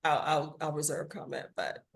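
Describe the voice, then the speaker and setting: An American woman chatting casually as an interview guest, telling stories in an animated way. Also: sits low, moderate pace, creaky. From Washington, D.C., United States. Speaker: interview guest, audio theatre creator. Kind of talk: casual chat.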